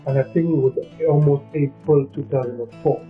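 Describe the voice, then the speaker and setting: A man from Cameroon, telling his own story in a casual interview, halting and often checking in with the listener. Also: mid-range voice, fairly even intonation. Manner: halting, leans on "you know what I mean". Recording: dull lo-fi sound with faint music.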